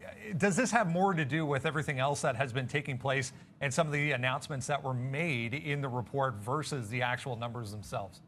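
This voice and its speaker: A man's voice: mid-range voice, brisk, a bit bright, slight background noise. A man hosting a live trading show in a conversational style, moderating the discussion.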